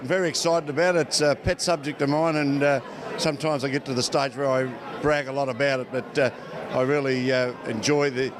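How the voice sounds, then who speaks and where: A man's voice: mid-range voice, steady tone, a little fast. An older Australian man, a club founder, answering in an informal interview. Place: entertainment venue during a party. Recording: party crowd chatter behind.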